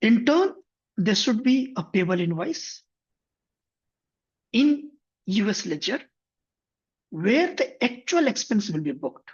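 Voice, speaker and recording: Husky-voiced man explaining step by step. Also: high-pitched, sing-song, slow, pauses often. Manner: methodical, step-by-step, frequent self-corrections. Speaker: software instructor. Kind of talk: screen-share tutorial explanation. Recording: dull lo-fi recording.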